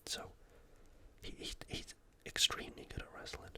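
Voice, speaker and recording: Breathy whisper, ASMR sports preview, informal, opinionated, unvoiced. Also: moderate pace, pushed and bright. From United Kingdom. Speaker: host of an ASMR fight-preview show.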